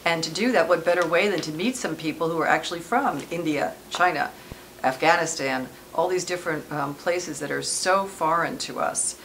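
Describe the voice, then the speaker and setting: A woman who teaches English as a second language gives thoughtful, measured interview answers, pausing often to think. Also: deep voice, a bit bright, slight background noise. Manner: thoughtful, measured, with frequent "um" pauses. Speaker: ESL teacher. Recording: music bed under voice.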